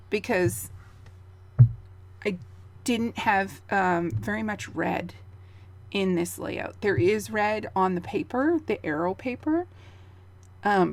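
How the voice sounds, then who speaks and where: Woman with a gravelly, fading voice. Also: medium pitch. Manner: chatty, rambling, with fillers and soft laughs. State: gravelly, losing her voice. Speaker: scrapbooking channel host. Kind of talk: casual voice-over of a craft process.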